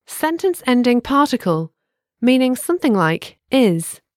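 A woman narrating a scripted language lesson, giving clear, measured instructions. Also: on the high side, big pitch swings, no room sound, clean studio sound.

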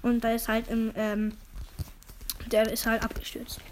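A hoarse woman chatting casually as a podcast co-host, rambling with lots of fillers. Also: fairly high pitch, completely flat delivery, unhurried, a little hiss or hum. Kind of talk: casual chat.

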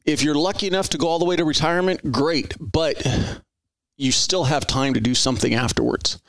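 Earnest middle-aged podcast host. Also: male voice, on the high side, a little fast, fairly bright tone, no room sound. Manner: earnest, rambling with restarts. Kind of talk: conversational interview.

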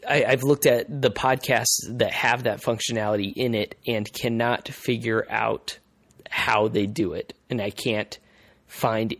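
A male podcast host explaining things in casual conversation, haltingly, repeating phrases as he thinks aloud. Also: mid-range voice, steady tone, gravelly, a bit bright, dry recording.

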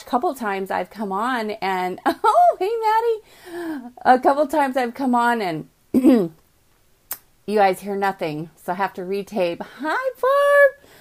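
A woman hosting a live morning podcast from her home office, chatty and upbeat, greeting viewers by name, with a voice she calls calming, on a computer mic. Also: on the high side. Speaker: podcast host. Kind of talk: casual live video chat.

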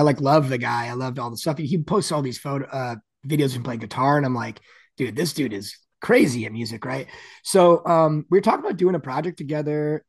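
A man with a deep, gravelly, raspy voice, chatting casually as a guest musician, with plenty of "like" and "you know" fillers. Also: dry recording, studio quality. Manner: rambling, many "like" fillers. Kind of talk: casual interview chat.

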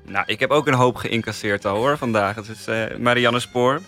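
A man's voice: medium pitch, normal speed, sharp tone, soft background music. A man with a Netherlandic Dutch accent, chatting casually as a member of a podcast panel. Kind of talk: casual chat.

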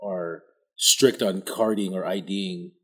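A man's voice: low voice, fairly even intonation, takes it slowly, bright, forceful tone, slightly rough. A man in casual chat who talks emphatically and repeats himself for effect.

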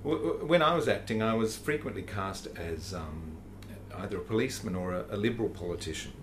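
A man interviewing a guest in an admiring, slightly halting way. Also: lowish voice, expressive, a little hiss or hum. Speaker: podcast host.

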